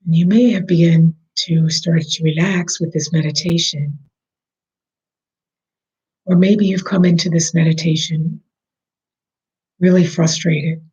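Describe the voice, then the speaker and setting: A meditation guide leading a slow, soothing breathing meditation, speaking softly and at times almost in a whisper. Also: medium pitch, fairly even intonation, highs cut off.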